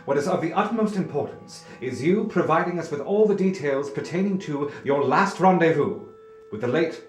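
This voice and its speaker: Man acting a stern, precise gentleman detective. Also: on the high side, expressive, echoey room, soft background music, close to an everyday voice. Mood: stern, probing. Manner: formal, precise, measured. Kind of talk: dramatic audio drama acting.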